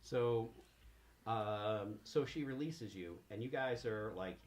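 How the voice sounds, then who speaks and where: A man improvising narration as game master in a halting, conversational way. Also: on the low side. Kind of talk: improvised narration of a tabletop role-playing game.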